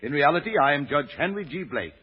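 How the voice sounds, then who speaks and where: A florid, sentimental old hustler holds forth in pompous, theatrical phrases. He talks quickly in a slightly high, husky voice, on a muffled old radio drama recording. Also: very animated. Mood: smug, persuasive. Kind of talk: 1930s-40s American radio drama acting.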